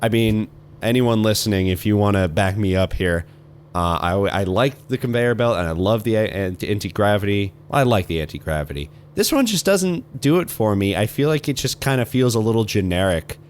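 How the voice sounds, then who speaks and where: A man's voice: fairly low pitch, expressive, a little fast, slight background noise. A man in casual improvised comedy banter, talking hesitantly and often restarting. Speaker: improv podcast performer.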